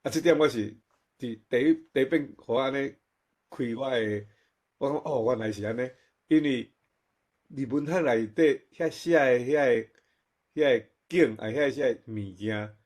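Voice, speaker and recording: A man with a Taiwanese accent giving casual comments at an online forum, speaking in a halting, conversational way and repeating himself. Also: medium pitch, a little mellow. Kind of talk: casual spoken reflection.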